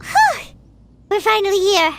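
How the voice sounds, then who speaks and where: An actress voicing a tiny, childlike fairy companion in a video game, squeaky, eager and earnest. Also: female voice, very animated, a little slow, exaggerated voice. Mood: perky, encouraging. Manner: perky, earnest, exclamatory. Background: game music.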